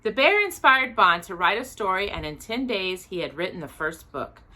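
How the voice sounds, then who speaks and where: A woman reading a children's book aloud in an expressive, deliberate way with drawn-out emphasis. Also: mid-range voice, poor audio. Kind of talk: expressive read-aloud children's story narration.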